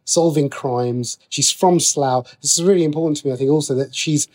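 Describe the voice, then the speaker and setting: A man speaking as an interview guest, a writer explaining his creative work in a conversational, halting way, often rephrasing as he goes. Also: fairly high pitch, a little mellow.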